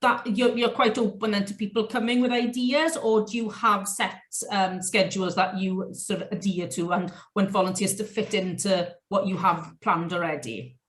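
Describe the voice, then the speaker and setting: A woman hosting an online interview, asking long rambling questions and answering warmly and encouragingly. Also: mid-range voice, moderate pace, hardly any pauses, echoey room. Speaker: interviewer, host of the virtual fair.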